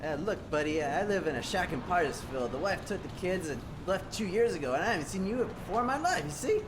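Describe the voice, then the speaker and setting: Lively, high-pitched cabbie, acted by a man. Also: fast, background noise. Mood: suspicious. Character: wary, plain-spoken working-class male cab driver. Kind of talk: 1940s radio play acting.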